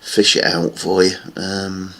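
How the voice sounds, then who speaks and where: A man's voice: sits low, little pitch movement, slow pace, bright, forceful tone. A man giving casual, rambling commentary on silver price charts, with many fillers. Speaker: market analysis vlogger.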